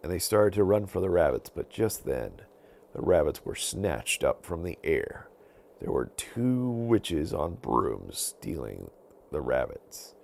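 Deep, gravelly male storyteller. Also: very animated, slow, darkish tone, a little hiss or hum. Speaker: storyteller, podcast host. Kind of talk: animated bedtime storytelling for children.

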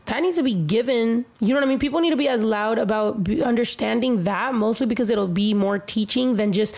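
A woman's voice: a little high, brisk, vocal fry, a little mellow. A woman with an American accent chatting casually as a music artist, blunt and opinionated, with lots of slang and swearing. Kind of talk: casual chat.

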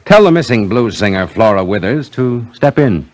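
A shrewd police inspector from a 1950s detective drama. His voice is low and moves through wide swings of pitch. He is courteous in wording but clipped and used to command. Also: big pitch swings, normal speed, darkish tone. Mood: brisk, probing.

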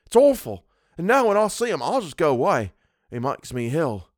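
A man's voice: on the high side, big pitch swings, normal speed, no echo, clean studio sound, pitched above the performer's own voice. A man voicing a young Cockney servant in a story, deferential and colloquial, by turns sheepish and indignant. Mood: uneasy. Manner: colloquial, deferential, full of sirs.

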